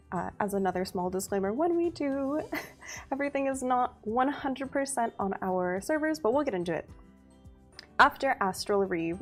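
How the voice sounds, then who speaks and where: Upbeat woman presenting a game livestream. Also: fairly high pitch. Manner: upbeat, giggly, reads skill details steadily. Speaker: community manager.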